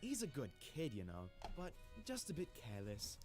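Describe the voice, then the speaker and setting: A man voicing an eccentric, gentlemanly teacher in a visual novel, genteel and fussy in manner. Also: mid-range voice, wildly swooping pitch, moderate pace, over music. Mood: polite. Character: eccentric, gentlemanly male teacher doting on his cat.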